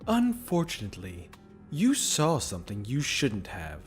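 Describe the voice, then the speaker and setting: Audio drama villain, sadistic demon, sardonic, drawling, animated intonation, mock-courteous, music behind. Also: male voice, mid-range voice, measured pace. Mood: mock-sweet menace. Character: sadistic, mocking demon predator, theatrically polite, menacing.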